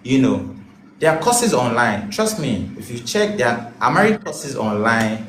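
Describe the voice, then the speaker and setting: A singer-songwriter man chatting as an interview guest, animated and breaking into song as he talks. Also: medium pitch, measured pace, a little hiss or hum. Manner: animated, bursts into song mid-sentence. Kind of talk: casual chat. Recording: roomy echo.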